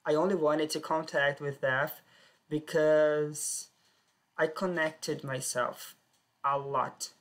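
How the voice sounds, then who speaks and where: A woman telling her own life story in plain, simple sentences with short pauses. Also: deep voice, steady tone. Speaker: personal storyteller. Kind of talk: first-person life story.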